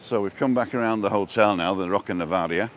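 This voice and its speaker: A British man with a low-pitched voice rambles casually through a live walking tour, slipping in dry jokes as he goes. He is recorded outdoors, with noticeable ambient noise around him. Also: fast. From born in England, lived in Wales and abroad. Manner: rambling, jokey. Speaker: travel vlogger and livestream host. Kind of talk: casual live walking-tour chat, answering viewer comments. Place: outdoors, walking along a seaside promenade. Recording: noisy outdoor street and seafront ambience.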